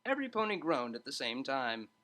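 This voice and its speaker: A woman's voice: rumbling low, sing-song, fairly quick, a bit bright. A woman reading scripted story narration expressively.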